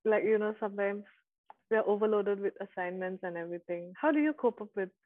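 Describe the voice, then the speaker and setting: A woman's voice: medium pitch, quick pace. Young woman student on a tinny line. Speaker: student guest. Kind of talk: asking a question.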